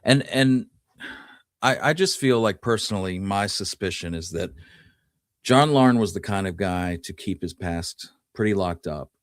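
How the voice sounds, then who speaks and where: A man's voice: sits low, unhurried, no room sound. A man co-hosting a history podcast, talking casually and musing his way through the details. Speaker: podcast co-host.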